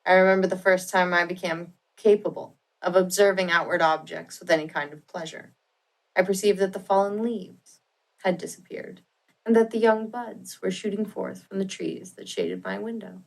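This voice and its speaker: A woman narrating an audiobook of a classic novel in a dramatic, measured and expressive literary style. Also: fairly low pitch, poor audio.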